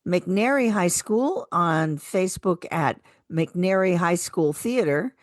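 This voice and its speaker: Woman radio presenter, very unhurried scripted read, dry studio sound. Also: lowish voice, animated, no room sound. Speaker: radio co-host. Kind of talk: scripted calendar announcing.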